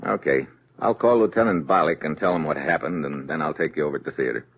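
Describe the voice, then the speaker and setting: An actor playing a hard-boiled, skeptical investigator, wry and terse, in the style of an old-time radio detective drama. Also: male voice, very deep voice, fast, slightly rough, exaggerated voice. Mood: suspicious. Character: hard-boiled, skeptical male investigator narrating his own case. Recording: dull old radio recording, loud music.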